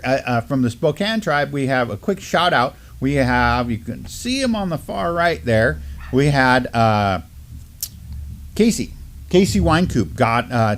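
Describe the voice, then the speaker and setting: Middle-aged man co-hosting native news. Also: mid-range voice. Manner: relaxed, many fillers and restarts. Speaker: news show co-host. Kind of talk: casual news chat. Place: studio with mottled backdrop, at a desk.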